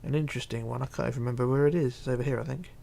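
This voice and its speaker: A man hosting a casual livestream, reading chat aloud and chatting in a rambling, halting way. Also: medium pitch, steady tone, vocal fry, mellow. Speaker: Minecraft livestreamer.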